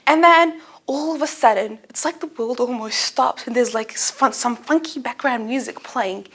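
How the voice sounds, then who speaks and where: A young woman, a native Arabic speaker, giving a humorous personal speech to an audience in an expressive storytelling manner. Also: a little high, moderate pace, husky. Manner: expressive, animated storytelling with acted-out asides.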